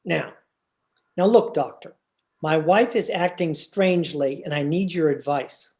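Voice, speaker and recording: A man's voice: on the high side, a little slow, darkish tone. A man playing an earnest, worried husband, hesitant and exasperated, in old-time radio comedy style.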